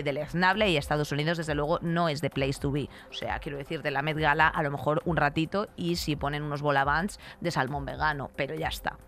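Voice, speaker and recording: A young woman with a Castilian accent from Spain, co-hosting a podcast with animated, colloquial, emphatic opinions into a close studio mic. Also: on the low side, slight background noise. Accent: Castilian Spanish from Spain. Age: young adult. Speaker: podcast co-host. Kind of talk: opinionated conversational commentary. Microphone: close broadcast mic on an arm.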